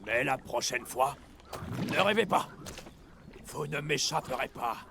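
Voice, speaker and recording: An actor voicing a sly, menacing animal predator in a French cartoon dub, with drawn-out, gloating, theatrical delivery. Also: male voice, medium pitch, expressive, creaky, background noise. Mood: menacing, baffled. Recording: music behind.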